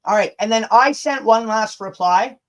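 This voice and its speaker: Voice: medium pitch, normal speed. A livestream host chatting casually and reading messages aloud, blunt and sweary, stumbling and rereading as they go.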